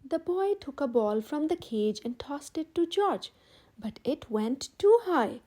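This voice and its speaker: A woman storyteller reading a children's picture book aloud in an expressive, emphatic way for young listeners. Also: sits high. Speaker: storyteller, channel host.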